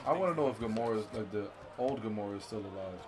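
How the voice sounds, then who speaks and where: A man's voice: fairly low pitch, lively intonation, rapid-fire, background noise. A man talking films casually with a friend, slangy and full of swearing. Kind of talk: casual excited movie discussion with a friend.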